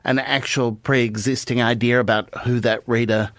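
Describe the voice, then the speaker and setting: A man hosting a podcast, asking measured, probing questions. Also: mid-range voice, steady tone, a bit bright, dry recording, studio quality. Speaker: podcast host interviewer. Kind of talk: interview questions.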